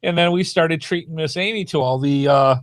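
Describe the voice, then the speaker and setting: An American man hosting a podcast, chatting casually about a trip in a halting, easygoing way. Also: fairly high pitch. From United States, formerly lived in Michigan. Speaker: podcast host. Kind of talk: casual chat, recounting a trip.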